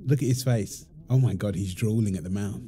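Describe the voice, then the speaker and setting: Man acting as mellow, playful doting young dad. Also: fairly low pitch, a little fast, a little hiss or hum, close to an everyday voice. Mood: gentle, coaxing. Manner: teasing, affectionate, laughing easily. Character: playful, doting young father feeding his baby son. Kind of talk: ASMR roleplay, improvised casual talk.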